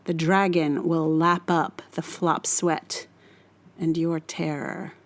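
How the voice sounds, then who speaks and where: A woman performing a scripted dramatic monologue in a deliberate, theatrical and menacing manner. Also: sits low, flat delivery. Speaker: writer reading own monologue.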